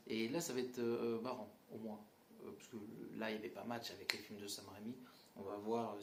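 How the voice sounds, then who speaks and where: A man giving a casual film critique, blunt and mocking, with lots of fillers and restarts. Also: medium pitch. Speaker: movie reviewer. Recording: roomy echo, faint ambient noise.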